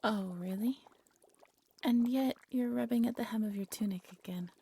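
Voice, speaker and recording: A woman playing a devoted, lovesick exiled alchemist in an intimate fantasy audio roleplay, tender and soft-spoken, often dropping into a whisper. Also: on the high side, moderate pace, creaky, slightly rough. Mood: fond, teasing. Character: devoted, lovesick exiled alchemist practising dark magic, gentle.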